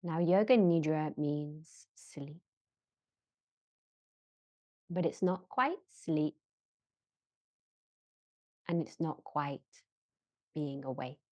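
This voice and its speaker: Very slow, low-voiced woman guiding relaxation. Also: no echo, lo-fi sound. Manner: measured, gentle, with long pauses between short phrases. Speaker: yoga nidra guide. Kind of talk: slow guided relaxation meditation.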